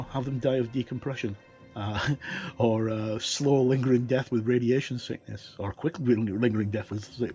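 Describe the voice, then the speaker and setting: A man's voice: mid-range voice, warm tone. A middle-aged man giving a casual, unscripted commentary as a reviewer, thinking aloud with hesitant, informal phrasing. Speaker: tabletop game reviewer. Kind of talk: casual commentary, talking through a rulebook.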